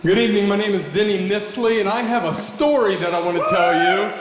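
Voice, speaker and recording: A man's voice: high voice, fairly quick, noisy background. A man from southeastern Pennsylvania telling a personal story on stage in a folksy, joking way. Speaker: storyteller performer. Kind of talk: spoken storytelling. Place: coffeehouse stage. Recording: echoey room with long reverb.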